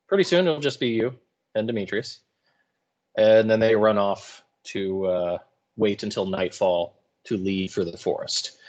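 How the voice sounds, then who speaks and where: Lowish-voiced man teaching informally. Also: slightly rough. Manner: wry, playfully colloquial paraphrasing. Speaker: high school English teacher. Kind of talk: informal video lecture commentary.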